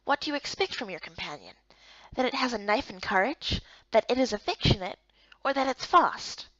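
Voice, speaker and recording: A woman's voice: a little high, lively intonation, moderate pace, a bit bright, dry recording, dull audio. A woman voicing a patient, helpful male guild guide in a visual novel game, speaking politely and instructively. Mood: polite, questioning.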